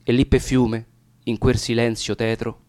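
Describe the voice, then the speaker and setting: A man with a Roman accent reciting narrative dialect verse theatrically, with deliberate, expressive pauses. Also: medium pitch. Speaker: actor reading poetry.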